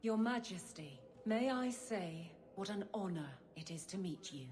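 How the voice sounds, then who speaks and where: An actress playing an earnest, deferential soldier in a video game, speaking respectfully and formally. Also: female voice, medium pitch, lively intonation, measured pace, a little mellow, natural voice. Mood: respectful, solemn. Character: earnest, deferential female soldier, a battle veteran. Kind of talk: video game cutscene acting.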